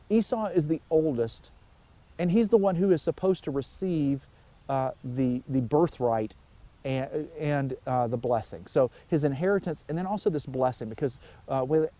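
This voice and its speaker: A man in his forties, a pastor giving an informal, conversational sermon outdoors, telling stories with emphatic pauses. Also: mid-range voice, dark tone. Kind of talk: informal sermon, explaining scripture. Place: outdoors by a wooded pond.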